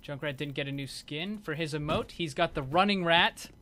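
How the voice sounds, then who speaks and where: A man's voice: on the high side, normal speed, pushed and bright. A man giving casual, unscripted commentary as host of a gaming video. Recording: clear background noise.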